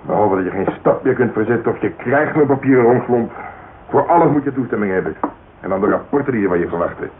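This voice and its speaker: An actor playing a jaded, blunt, middle-aged old-school police detective in a radio drama, grumbling and needling. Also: male voice, medium pitch, lively intonation, fast talker, close to an everyday voice. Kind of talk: naturalistic radio drama dialogue. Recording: tinny, noisy old radio recording.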